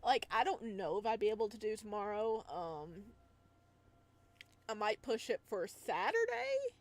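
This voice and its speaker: A woman's voice: medium pitch, big pitch swings, unhurried. A woman chatting casually on a livestream as she plays a game, rambling in a giggly, playful way. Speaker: live streamer playing a visual novel.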